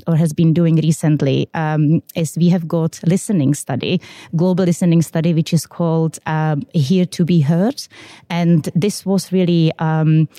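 A woman's voice: deep voice, dark tone, dry recording, clean studio sound. A woman in her thirties with a Czech accent, a podcast guest who talks upbeat but hesitant, with many fillers. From Czech Republic. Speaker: guest, quality systems manager. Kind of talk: podcast interview.

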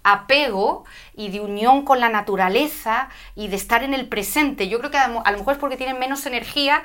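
A middle-aged woman from Spain, telling her story as an interview guest with an animated, spiritual way of talking. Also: a little high, fairly quick. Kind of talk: conversational interview.

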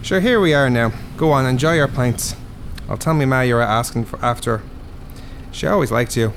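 An actor playing a cheeky younger Dublin bus driver, surly but easily cowed, in a radio drama. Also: male voice, mid-range voice, moderate pace, a little hiss or hum, lightly acted. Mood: sheepish, friendly.

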